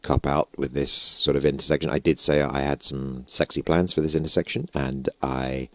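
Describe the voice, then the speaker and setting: A British man with a hoarse, gravelly voice, rambling and joking at himself through casual game commentary on a close stage mic. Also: a bass voice, level tone, normal speed, sharp tone, no room sound. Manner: rambling, self-mocking, chuckling. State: hoarse, gravelly voice after losing it. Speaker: gaming YouTuber. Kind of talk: casual let's-play commentary. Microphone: stage vocal mic close up.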